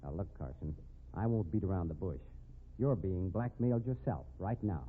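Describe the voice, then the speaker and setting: A man's voice: sits low, animated, moderate pace, slight background noise. An actor playing a confident, tough man cornering a crook in a 1950s radio drama, sardonic and hard-boiled. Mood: stern, accusing. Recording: narrow phone-like old radio recording.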